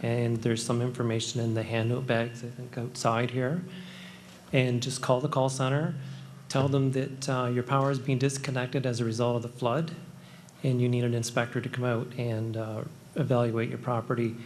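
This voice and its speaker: A man explains safety procedures in a procedural, step-by-step way. His voice stays flat in pitch, with faint room noise behind him. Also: normal speed. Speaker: electrical safety official. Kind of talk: public information answer.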